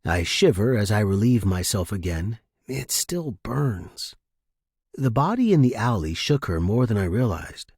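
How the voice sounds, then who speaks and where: A man reading first-person fiction as a narrator, in a grave, measured, dramatic manner. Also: fairly low pitch, sing-song, a little mellow, husky, dry recording, studio quality. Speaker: story narrator.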